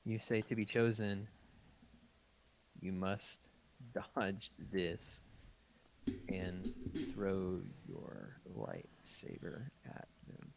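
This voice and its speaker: A man's voice: low voice, lively intonation, very slow, gravelly, long pauses, background noise. A man reading text adventure game narration aloud and chatting casually as a podcast host.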